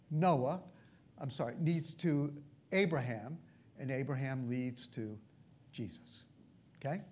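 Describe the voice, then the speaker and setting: Brooklyn-accented preacher, chatty and a bit high. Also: male voice. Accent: New York (Brooklyn). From Brooklyn, New York. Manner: conversational, frequent asides and check-ins. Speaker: pastor. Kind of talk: sermon teaching.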